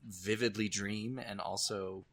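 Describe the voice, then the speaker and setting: Bright-voiced man chatting on a podcast. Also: on the low side, little pitch movement, measured pace. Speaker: podcast co-host. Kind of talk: casual chat about nightmares and horror films.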